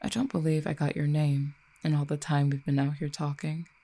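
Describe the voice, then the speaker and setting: Casting: composed, dangerous exiled cupid for audio roleplay performed by an actor, eloquent and formal, dark creaky voice of medium pitch. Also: flat delivery. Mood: polite, curious. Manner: measured, formal, eloquent phrasing. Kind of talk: audio roleplay acting, addressed to listener.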